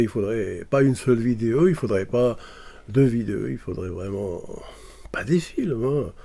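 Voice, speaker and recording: A man's voice: medium pitch, warm tone. A man from Morocco, talking casually to camera and hesitating often with fillers and restarts. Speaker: video commentator.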